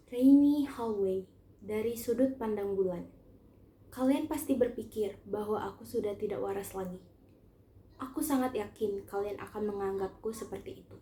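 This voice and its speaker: A teenage girl, a student reading a short story aloud in an expressive, earnest first-person style. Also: a little high, roomy sound. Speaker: student narrator. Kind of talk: scripted short story narration, read aloud.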